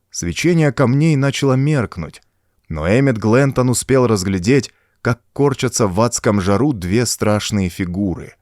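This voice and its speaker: A man's voice: lowish voice, darkish tone, dry recording, studio quality. A man reading a pulp horror story as an audiobook, in a dramatic, scripted storytelling manner. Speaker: audiobook narrator.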